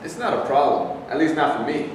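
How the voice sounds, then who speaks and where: An earnest young soldier, acted by a man in a staged reading, talks plainly in a slightly high voice. He is heard at a distance in a very echoey room with faint noise. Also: very animated, a little fast. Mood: matter-of-fact. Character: earnest, troubled young soldier deployed to Iraq.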